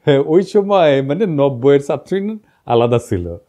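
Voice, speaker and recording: Animated northern Bangladeshi man, mocking commentary. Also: mid-range voice, normal speed. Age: middle-aged. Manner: mocking, colloquial, rhetorical questions. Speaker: political commentator, online host. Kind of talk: informal monologue, storytelling political commentary.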